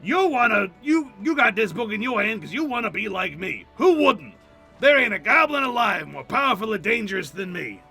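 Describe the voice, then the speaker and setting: A cocky goblin boss, loud and swaggering, high bright voice, big video game villain energy. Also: male voice, very animated, moderate pace, vocal fry, slightly rough, faint music behind, heavily acted. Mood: boastful.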